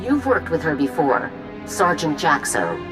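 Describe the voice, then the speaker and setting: A woman playing a composed military general, giving formal, measured briefings in a video game cutscene. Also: deep voice, huge pitch swings, music in the background, heavily acted. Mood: composed, businesslike.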